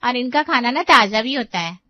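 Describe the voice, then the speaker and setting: A chatty, eager young daughter-in-law, as a woman voices her, pitched fairly high. She talks people round with lively persuasion. The recording is dry and a little dull. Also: normal speed, no room sound.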